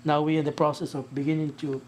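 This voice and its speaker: A man's voice: on the high side, flat delivery, fast talker. A man whose native language is Spanish, a quena player explaining eagerly in a documentary and often restarting words. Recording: distant mic with loud music behind.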